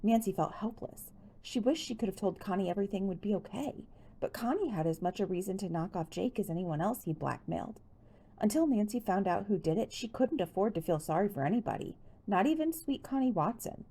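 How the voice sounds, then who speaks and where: A woman's voice: fairly low pitch, dark tone, slightly rough. A woman reading a teen mystery novel aloud as scripted storybook narration. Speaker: audiobook reader.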